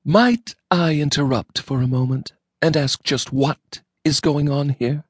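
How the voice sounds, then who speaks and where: Imperious wife voiced by a male reader: cold, precise, measured, quietly cutting. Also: mid-range voice, very animated, breathy edge, dry recording, clean studio sound, pitched above the performer's own voice. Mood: icy. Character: icy, imperious wife, woman, coldly controlled. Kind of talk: audiobook character voice.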